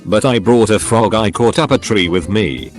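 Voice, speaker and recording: A man's voice: fairly low pitch, moderate pace. A man narrating short online stories in a scripted, recited read-aloud style. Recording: music behind the voice. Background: background music.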